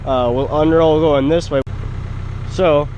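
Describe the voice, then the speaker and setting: A man's voice: fairly high pitch, fairly even intonation, takes it slowly, highs cut off. Sick young ranch man over engine noise. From Montana, USA. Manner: chatty and explanatory, addresses viewers directly. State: sick, stuffy voice. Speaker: ranch vlogger. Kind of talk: casual vlog narration. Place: tractor cab and snowy pasture outdoors. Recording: loud engine noise behind.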